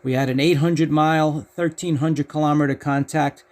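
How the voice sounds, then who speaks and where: A man's voice: fairly high pitch, steady tone, normal speed, background noise. A man hosting a casual amateur radio demonstration, speaking as an operator who gives callouts in the phonetic alphabet. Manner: spells call signs in phonetic alphabet.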